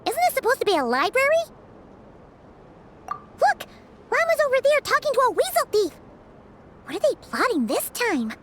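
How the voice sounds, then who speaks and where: A woman voicing a tiny, excitable female companion creature in a video game, bubbly and chatty, talking about herself in the third person, with game music behind her. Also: very high-pitched, noisy background. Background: game background music.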